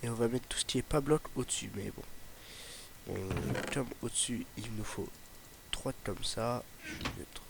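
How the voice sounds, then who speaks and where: A man's voice: medium pitch, moderate pace, gravelly. A young man with a slight Ardèche accent, chatting casually over his gameplay and rambling with many fillers and restarts. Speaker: gaming YouTuber. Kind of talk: casual Let's Play commentary. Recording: noisy home mic recording.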